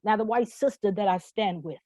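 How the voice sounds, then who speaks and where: A woman's voice: mid-range voice, fairly quick, dark tone, no echo, clean studio sound. A woman performing spoken word poetry with rhythmic, rhyming phrasing and an emphatic, declarative manner. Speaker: poet.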